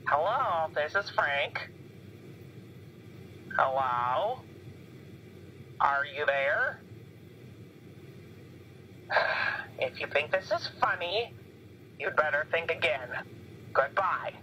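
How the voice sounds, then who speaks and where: A man's voice: a little high, animated, drawn-out words, long pauses, pushed and bright, highs cut off, a little hiss or hum, heavily acted. A man voicing a stern, prim male puppet who speaks curtly and with irritation. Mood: irritated.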